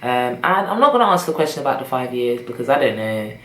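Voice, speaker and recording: Young woman, British accent, chatty, animated, echoey room. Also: a bass voice, fast. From Britain. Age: young adult. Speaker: vlogger. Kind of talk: casual chat to camera. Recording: echoey room with faint noise.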